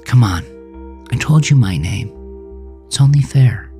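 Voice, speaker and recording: A man's voice: mid-range voice, lively intonation, unhurried, warm tone, pitched above the performer's own voice. A man reads the part of a bold, guarded woman in a horror audio drama. The delivery is blunt and challenging, slightly teasing, with faint music underneath. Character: wary, bold, teasing woman confronting an awkward driver.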